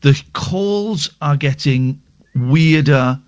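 A man hosting a late-night radio phone-in, rambling casually and cracking crude deadpan jokes. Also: on the high side, very slow, muffled recording. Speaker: radio host.

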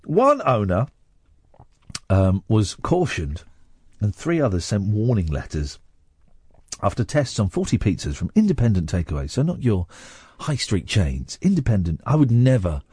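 A man's voice: lowish voice, huge pitch swings, moderate pace, a little mellow, slightly rough, dry recording. A man hosting a late-night radio phone-in, chatting and teasing in a playful way. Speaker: radio host. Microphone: studio microphone.

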